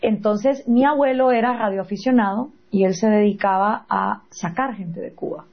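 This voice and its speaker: A woman with a Guatemalan accent in conversation as an interview guest, telling personal stories in an animated, emphatic way. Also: medium pitch, normal speed, dull audio, crowd noise. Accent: Guatemalan Spanish.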